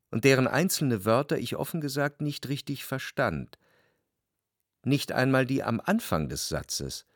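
Literary radio drama narrator, jealous, tender gentleman: mid-pitched, animated intonation, introspective, very close and dry. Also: gravelly, dry recording.

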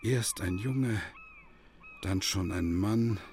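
Radio play narrator: reflective villager, creaky low voice, halting delivery, faint ambient noise behind. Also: male voice, darkish tone. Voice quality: gravelly. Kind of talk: German radio play acting.

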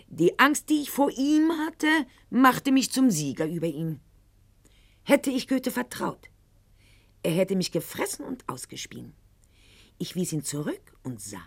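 An actress playing a proud, bitter, sickly aristocratic lady in a theatrical radio monologue, with mocking, precise, formal diction. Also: medium pitch. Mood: bitter, passionate.

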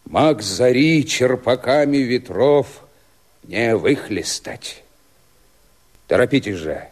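An actor playing a hard, scornful rebel who turns on his leader, speaking weighty, commanding verse in a radio play. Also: mid-range voice.

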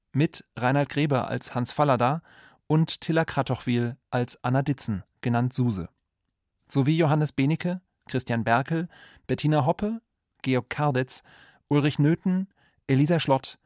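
A man's voice: mid-range voice, a little mellow, no room sound, studio quality. A man reading scripted credits as a radio announcer.

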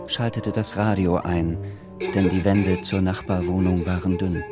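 An actor playing a dry, understated narrator who recounts events in a literary radio drama. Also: male voice, low-pitched, fairly even intonation, measured pace, over music. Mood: matter-of-fact.

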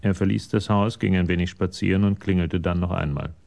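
An actor as the narrator of a crime radio play, reading in a measured, detached manner. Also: male voice, low-pitched, fairly even intonation, gravelly, a little mellow.

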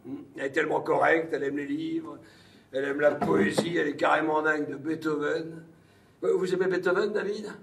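Brash, gravelly army major, echoey stage. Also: male voice, fairly high pitch, fairly even intonation, brisk, noisy background. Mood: sarcastic. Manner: blunt, sarcastic, colloquial, swearing. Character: brash, coarse, domineering American army major, interrogator. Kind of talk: theatrical French stage acting. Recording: distant echoey stage pickup.

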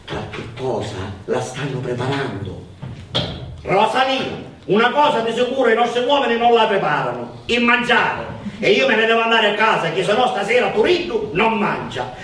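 High and echoey, this is an anxious Sicilian village housewife in a radio play. The manner is folksy and confiding, like gossip, heard at a distance over faint noise. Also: male voice, a bit bright, heavily acted. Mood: homely grumbling. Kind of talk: theatrical radio drama dialogue.

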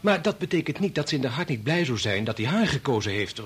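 Quick, husky, deferential colleague. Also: male voice, medium pitch, sing-song, fairly bright tone, full character voice. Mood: conciliatory. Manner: polite, conciliatory. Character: deferential, understanding male junior research colleague. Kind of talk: Dutch radio play acting.

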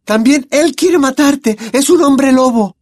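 A man voicing a frantic, clever teenage witch girl who cries out in high, shrill accusations. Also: slow, a little mellow, no echo, studio quality. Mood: frantic accusing.

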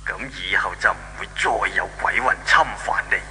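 A man's voice: low-pitched, steady tone, brisk, sharp tone. A man playing a stern but benevolent old deity in a Cantonese radio drama from 1970s Hong Kong, preaching in a solemn, commanding manner. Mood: solemn reassuring. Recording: echoing, hissy old radio drama recording.

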